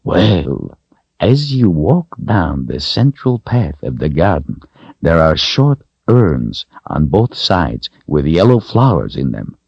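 A man voicing a kindly, tender storybook wizard, thoughtful and playful, with a drawn-out delivery. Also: deep voice, sing-song, gravelly, darkish tone, breathy edge, dry recording, muffled recording, exaggerated voice. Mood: eager, conspiring.

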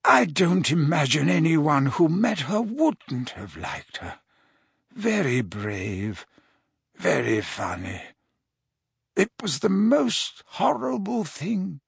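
A man doing a weepy, tipsy old potions master, pitched well above the reader's own voice and swinging widely in pitch. He frets and hiccups through his words, his voice thin and breathy. An audiobook character voice. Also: big pitch swings, takes it slowly, no echo, studio quality, full character voice. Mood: tearful. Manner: emotional, hiccuping, fretful.

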